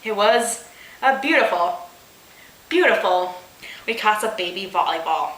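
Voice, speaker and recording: A woman's voice: mid-range voice, lively intonation, measured pace, fairly bright tone, roomy sound, rough recording. A woman hosting a comic read-aloud of amateur fan fiction, giggly, mock-dramatic and incredulous in her delivery.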